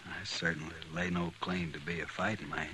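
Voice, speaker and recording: An actor playing a resigned, quietly determined newcomer out West in a 1950s radio western, polite and measured. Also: male voice, sits low, little pitch movement. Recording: old 1950s radio recording, faint noise.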